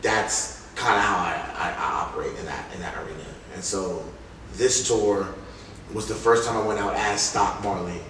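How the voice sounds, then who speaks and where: A man's voice: fairly low pitch, moderate pace. A man telling stories casually in an interview as a rap artist, with informal slangy phrasing and quoted asides. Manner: informal slang, often quoting others and punctuating with "like" and "you know". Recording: very echoey room, distant mic, loud noise.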